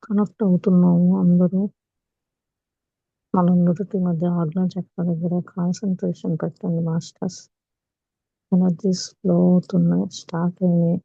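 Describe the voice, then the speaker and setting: A woman with a Telugu accent leading a guided meditation as a teacher, calm and unhurried, with long pauses. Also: fairly low pitch, vocal fry, slightly rough. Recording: muffled, echoey room recording.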